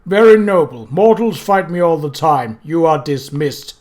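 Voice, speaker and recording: Death done by a guy, stern and slow, loud and looming, audiobook style. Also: a little high, animated. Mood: cold anger. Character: Death, towering cold skeletal lord, angry.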